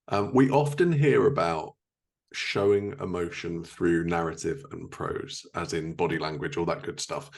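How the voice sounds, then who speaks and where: A man's voice: low voice, creaky. A man hosting a podcast, asking questions and responding in a casual interview style. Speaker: podcast host.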